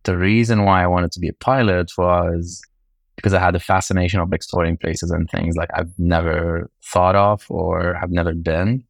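A man's voice: low voice, flat delivery, moderate pace, gravelly, no room sound, clean studio sound. A man from Lebanon speaking casually as a podcast guest, telling things in a conversational way.

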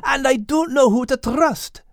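A man's voice: very high voice, unhurried, no echo, studio quality, voice pushed up. A man voicing a troubled, earnest furry animal with a folksy, pleading manner in an audiobook. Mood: anxious, pleading. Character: troubled, earnest furry animal, male, self-doubting friend. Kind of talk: audiobook character voice.

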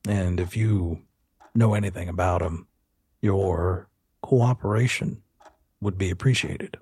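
A man playing a courteous, quietly menacing film studio boss in an audio drama, questioning slowly with insinuating hesitations. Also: deep voice, breathy edge, no room sound. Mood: pressing. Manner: halting, deliberate, insinuating questioning. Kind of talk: 1920s-set audio drama roleplay.

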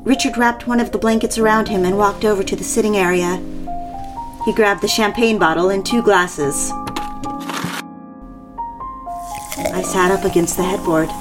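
Actress as intimate, confessional mistress over music. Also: female voice, mid-range voice, a little fast, lightly acted. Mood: reflective. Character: lovestruck, compliant mistress, a married woman narrating her affair. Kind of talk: dramatic first-person narration and dialogue. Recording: music behind the voice.

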